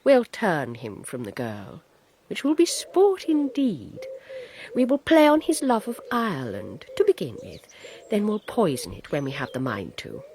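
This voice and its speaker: An actress playing a scheming, imperious middle-aged society mother with an arch, deliberate delivery in a period radio drama. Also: lowish voice, wildly swooping pitch, darkish tone, slight background noise, full character voice. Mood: gleefully malicious.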